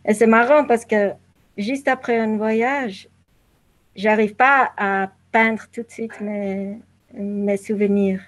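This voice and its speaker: A woman speaking as an interview guest at a markedly slow pace, with a medium pitch. She answers reflectively, pausing often to search for the next word. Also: fairly even intonation. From southern Africa (Zambia and Zimbabwe). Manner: halting, searching for words with long pauses.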